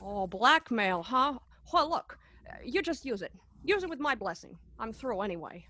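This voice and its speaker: A woman's voice: on the high side, big pitch swings, normal speed, pushed and bright, exaggerated voice. A woman playing a drunk, romantic male writer in a radio play, stammering, hiccuping and repeating words. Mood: scornful, loose-tongued. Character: tipsy, rambling, romantic young male writer.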